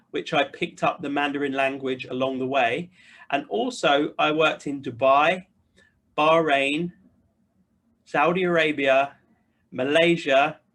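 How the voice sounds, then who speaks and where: Higher-pitched British man, earnest address. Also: fairly bright tone. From United Kingdom. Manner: earnest, direct address to listeners. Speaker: investor and video host. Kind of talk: personal introduction to camera, semi-scripted.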